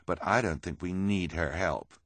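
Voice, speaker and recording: A man's voice: sits low, normal speed, fairly bright tone, no echo. A man voicing a haughty, scheming villainous woman who coaxes with emphatic, condescending persuasion in an audiobook. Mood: scornful.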